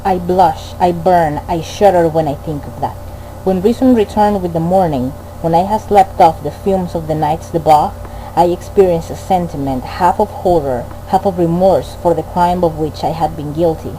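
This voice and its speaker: Very dark, remorseful narrator read by a woman. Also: on the low side, normal speed, slight background noise, close to an everyday voice, poor audio. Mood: ashamed. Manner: formal, solemn storytelling. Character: remorseful narrator confessing a cruel deed. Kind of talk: scripted literary narration, radio play.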